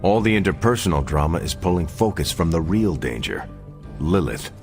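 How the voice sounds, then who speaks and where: An actor playing an earnest, upright soldier superhero in a video game, sincere and encouraging. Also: male voice, low voice, sing-song, normal speed. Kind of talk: video game cutscene acting. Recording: faint music behind.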